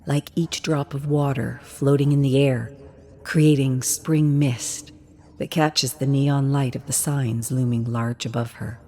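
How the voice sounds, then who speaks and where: Female narrator, low-pitched and unhurried, for intense dramatic story narration. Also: fairly even intonation, warm tone.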